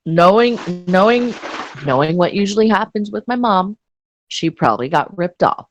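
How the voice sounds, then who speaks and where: A woman's voice: mid-range voice, expressive, normal speed, no room sound. A woman chatting casually with friends, laughing often and trying out silly phrases. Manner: giggly, puts on mock Spanish phrases. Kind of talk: casual banter.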